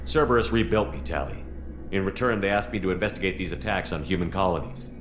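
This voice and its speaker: An actress playing a calm, authoritative military commander in a video game, speaking in a businesslike way. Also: female voice, a bass voice, fast talker, noisy background. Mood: composed. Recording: echoey, music behind.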